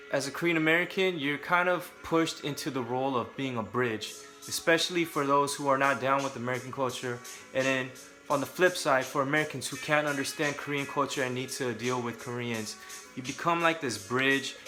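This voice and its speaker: A man's voice: medium pitch, fairly quick, sharp tone, faint music behind. A young adult man from California, talking casually and candidly to camera with joking asides. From Southern California. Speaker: vlogger.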